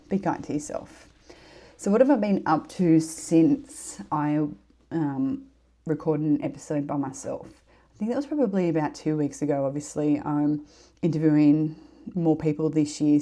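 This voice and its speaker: Aussie lady podcaster, low mellow voice, bit sniffly from a cold, chatty and rambling. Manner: warm, earnest, rambling with fillers. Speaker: podcast host. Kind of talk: casual solo podcast chat.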